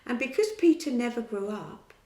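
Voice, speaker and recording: A woman reading a children's story aloud in a clear, steady storybook manner. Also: a little high, moderate pace, roomy sound.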